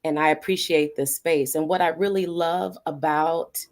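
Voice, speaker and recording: Lady on a video call panel, lowish voice, heartfelt and measured. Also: fairly even intonation. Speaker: panel guest. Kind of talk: personal reflection on a panel.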